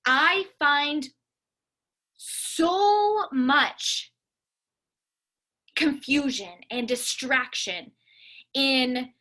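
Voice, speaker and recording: A woman's voice: high voice, extremely slow, pauses often, sharp tone. A woman giving a motivational coaching talk to her team, speaking earnestly and emphatically and repeating phrases for stress. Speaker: business coach leading a team call.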